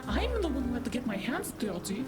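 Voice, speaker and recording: A woman's voice: fairly high pitch, very animated, brisk. A woman as a menacing, scornful crime enforcer. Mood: threatening. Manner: threatening, scornful, demanding. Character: menacing, foul-mouthed female criminal enforcer with a gun. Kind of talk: noir audio drama acting. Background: loud cinematic music.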